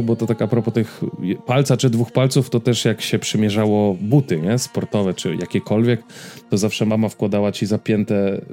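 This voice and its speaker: A man's voice: on the low side, warm tone. A man co-hosting a podcast in a casual, informal and joking way, given to anecdotes. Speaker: podcast co-host.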